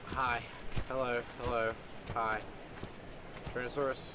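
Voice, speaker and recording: A man improvising in-character video game roleplay, haltingly talking to himself and often repeating his words. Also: mid-range voice, flat delivery. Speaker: roleplay gaming video creator. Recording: loud noise and game ambience behind.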